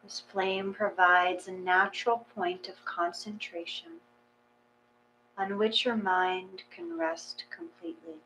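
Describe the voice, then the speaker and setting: A woman reading a guided meditation in a gentle, measured, calming way. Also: medium pitch, poor audio. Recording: clear mains hum.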